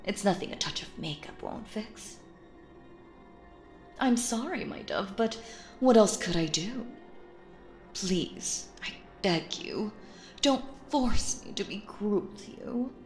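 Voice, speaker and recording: A woman acting out a sinister, possessive stage magician in an intimate audio roleplay, cooing endearments theatrically and slipping into breathy, menacing whispers. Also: medium pitch, animated, slow, pauses often, roomy sound, faint music behind. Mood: tender, coaxing.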